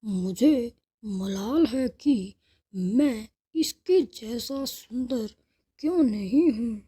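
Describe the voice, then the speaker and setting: Woman as a dark, husky, wistful pine tree. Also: a little high, lively intonation, very slow, exaggerated voice. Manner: wistful, regretful. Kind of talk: children's moral story cartoon dialogue.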